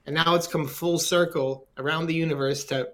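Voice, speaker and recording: Higher-pitched man hosting a casual podcast. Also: steady tone, moderate pace, fairly bright tone. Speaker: podcast host. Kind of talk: casual conversational interviewing.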